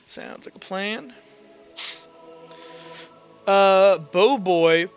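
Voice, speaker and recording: A man's voice: high-pitched, extremely slow, lo-fi sound. A man working as game master, narrating and refereeing an online tabletop game in a casual, profane way. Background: music.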